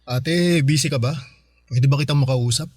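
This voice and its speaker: An actor playing a beleaguered, earnest husband with a Filipino accent in a radio drama. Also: male voice, medium pitch, normal speed, a little mellow, husky, no room sound, studio quality, close to an everyday voice. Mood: downcast. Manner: earnest, strained, hesitant when asking. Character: Marlon, beleaguered husband and uncle short of money.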